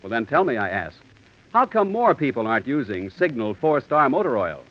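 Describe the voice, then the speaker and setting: Lively vintage radio ad man. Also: brisk. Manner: emphatic sales pitch. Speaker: announcer. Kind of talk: 1940s radio ad read. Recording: dull lo-fi old radio recording.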